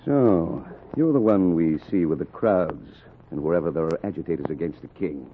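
Man playing a deep, menacing British naval captain. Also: moderate pace, lightly acted. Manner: haughty, menacing. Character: stern, threatening British naval captain, king's officer. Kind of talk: historical radio drama acting. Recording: phone-like old recording with faint noise.

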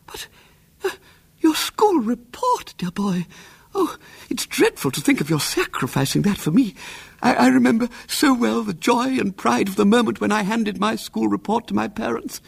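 A man voicing a prim, anxious elderly aunt in a breathless, fluttery falsetto. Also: lively intonation, moderate pace, gravelly, slightly rough, dry recording, exaggerated voice. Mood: fretful.

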